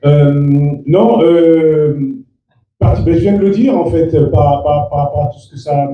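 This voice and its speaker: A man, a native Fula speaker, answering press questions as an actor in a halting way with many restarts. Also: fairly high pitch, fairly even intonation, dull audio, a little hiss or hum. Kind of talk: press interview. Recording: echoey room from far off.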